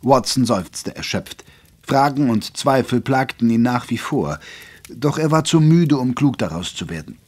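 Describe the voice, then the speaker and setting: A man's voice: medium pitch, lively intonation, measured pace, a little mellow, breathy edge. A man narrating a German audio drama as a scripted storyteller.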